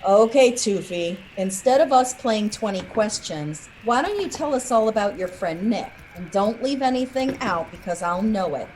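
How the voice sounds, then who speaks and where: A shrewd female cop voiced by an actress in an audio drama. She has a mid-pitched voice, a firm, direct manner, and faint noise behind her. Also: animated, moderate pace, few pauses, darkish tone, natural voice, rough recording. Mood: stern, probing. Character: shrewd, no-nonsense female police officer.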